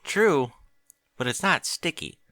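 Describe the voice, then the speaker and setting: Man doing a nervous bookish pony mare, higher voice, lively, bright. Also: takes it slowly, no echo, studio quality, heavily acted. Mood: weary. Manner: anxious, flustered.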